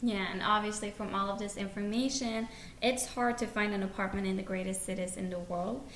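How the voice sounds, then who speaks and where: A woman's voice: mid-range voice, fairly even intonation, fairly quick, a bit bright. A young woman co-hosting a podcast, explaining things in an earnest, plain way. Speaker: podcast co-host. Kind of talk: scripted podcast chat. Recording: echoey room, faint noise.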